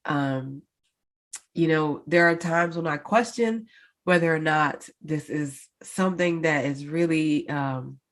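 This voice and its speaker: Woman, low voice, slow pace, nasal from congestion, chatty podcast talk. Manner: chatty, many fillers, addresses listeners directly. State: congested, stuffed-up nose. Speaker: podcast host. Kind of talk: casual solo podcast update.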